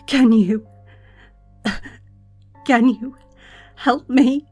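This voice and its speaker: A woman playing a gravely wounded angel in an audio drama, pleading in faint, broken words as she gasps in pain. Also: sits high, extremely slow, a little mellow, full character voice. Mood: weak, pleading. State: in pain, gasping. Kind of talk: dramatic audio fiction acting. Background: music.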